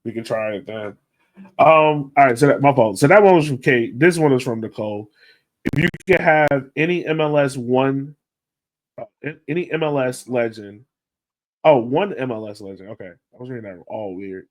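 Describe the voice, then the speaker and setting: Laid back guy podcast cohost talking soccer, mid pitch, kinda thinks out loud and trails off. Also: lively intonation, normal speed, rough recording. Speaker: podcast co-host. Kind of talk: casual soccer podcast chat.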